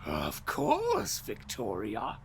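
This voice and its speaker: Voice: medium pitch, wildly swooping pitch, drawn-out words, vocal fry, exaggerated voice. An actor playing an ancient, sinister immortal storyteller in an audio drama, theatrical, bitter and mocking, drawing out riddles and slipping into hissing whispers. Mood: mocking, silky.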